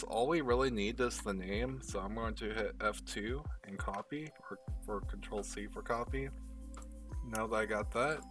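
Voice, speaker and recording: A man narrating a step-by-step software tutorial in a casual, informal way, talking through each step as he does it. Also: medium pitch, far from the mic. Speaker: tutorial host. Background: background music.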